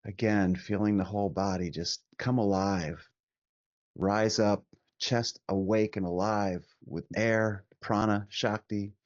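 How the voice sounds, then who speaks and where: Guy doing guided meditation, really slow and soothing, dry close sound, kinda muffled recording. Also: lowish voice, fairly even intonation, no room sound. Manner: soothing, unhurried, gentle instruction with long pauses. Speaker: meditation teacher.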